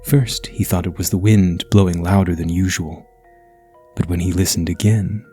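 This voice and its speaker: A man reading a scripted ghost story as narrator, dramatic and at times hushed. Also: low-pitched, steady tone, moderate pace, husky, faint music behind.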